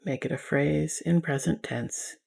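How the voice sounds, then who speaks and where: A woman leading a guided yoga nidra meditation as a teacher, giving gentle, soothing instructions. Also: low voice, a little slow, gravelly, breathy edge.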